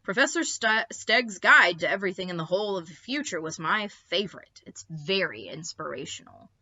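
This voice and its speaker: A woman's voice: on the low side, animated, moderate pace, bright, forceful tone, dry recording, highs cut off. A woman voicing curious, admiring dinosaurs in an eager, exclamatory storybook manner.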